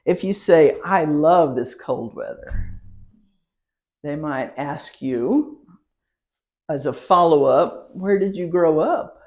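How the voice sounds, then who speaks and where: A woman teaching a citizenship class, talking slowly and repeating each word for her learners. She is patient and laughs lightly between points. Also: sits low, animated, darkish tone. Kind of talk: classroom pronunciation lesson.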